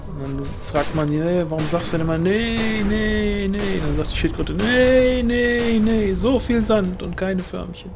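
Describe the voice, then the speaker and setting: A man's voice: sits high, a little slow, creaky, few pauses. A man casually chatting while playing a co-op online game, dryly joking and grumbling at the game. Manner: dry, tells jokes, grumbles at the game. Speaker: let's play gamer. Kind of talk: casual co-op gaming chat. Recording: game music behind the voice.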